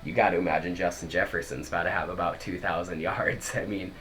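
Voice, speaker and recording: A young man with a low voice co-hosts a casual sports podcast, chatting his way through one news item after another. His voice is congested from a head cold, and there is a faint hiss behind him. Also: steady tone, quick pace, fairly bright tone. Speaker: podcast co-host.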